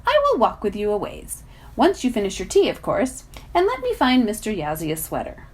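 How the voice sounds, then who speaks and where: Storybook role: ageless male mountain guardian, played by a woman, dignified, courteous, lively melodic phrasing. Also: medium pitch, fairly quick, breathy edge. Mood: gentle, courteous.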